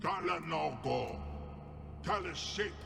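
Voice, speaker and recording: A man voicing a big, slow-witted male troll who speaks in broken grammar and calls himself by name. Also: a little high, animated, gravelly, in a large hall, heavily acted. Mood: stubborn.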